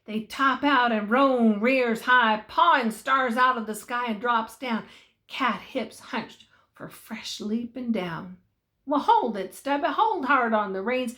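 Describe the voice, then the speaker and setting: An older woman giving an expressive, dramatic reading of a folksy Christmas story, savouring repeated phrases. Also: medium pitch.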